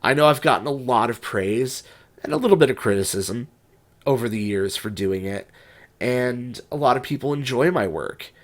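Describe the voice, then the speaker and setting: A young man talks to camera in a loose, unscripted vlog, his voice stuffed up from a cold. He rambles and restarts often, stopping on fillers as he works through his thoughts. His pitch sits in an ordinary middle register. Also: expressive, normal speed. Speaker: music writer and YouTuber.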